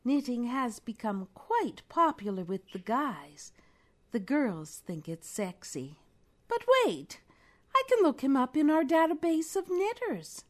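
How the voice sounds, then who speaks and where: A woman's voice: sits high, very animated, measured pace. A woman playing a flirtatious, helpful knitting shop clerk in a spy spoof, coy and melodramatic.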